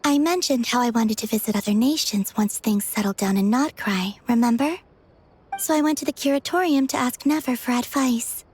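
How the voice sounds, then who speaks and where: A woman playing a serene, innocent young maiden in a video game, gentle, guileless and matter-of-fact. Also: fairly high pitch, moderate pace, slightly rough.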